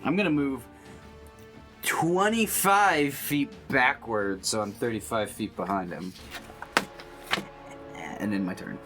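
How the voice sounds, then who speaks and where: A man's voice: medium pitch, takes it slowly, pauses often. A man playing a tabletop roleplaying game, talking casually and hesitantly as he thinks aloud. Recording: faint music behind the voice. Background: faint music.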